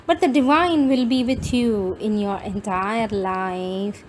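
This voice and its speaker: A woman reading devotional quotations aloud in a deliberate, instructive manner. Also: mid-range voice, a little mellow. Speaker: reader of spiritual teachings. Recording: noticeable background noise.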